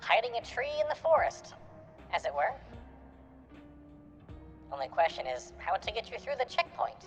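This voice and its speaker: A man voicing a composed, shrewd resistance member from a video game, speaking in a measured, formal way. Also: high-pitched, big pitch swings, pitched above the performer's own voice. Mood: composed, businesslike. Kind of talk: acted reading of video game dialogue. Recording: dull lo-fi sound with game music.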